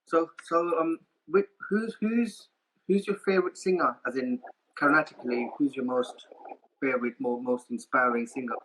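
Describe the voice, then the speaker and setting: A man hosting an interview, agreeable and halting, asking a guest questions. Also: mid-range voice, a bit bright, a little hiss or hum, low-quality recording. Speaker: interview host. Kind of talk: casual interview chat.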